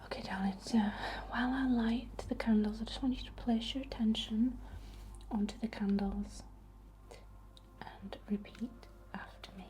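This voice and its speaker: A woman speaking in a soft, breathy whisper, gently guiding a soothing, unhurried ASMR healing ritual. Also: a little high, fairly even intonation. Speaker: ASMR host. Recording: faint background noise.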